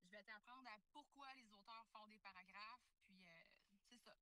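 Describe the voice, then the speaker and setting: A woman's voice: medium pitch, normal speed, over a noisy crowd. A woman with a Quebec French accent, a teacher guest explaining things casually and spontaneously from a parked car.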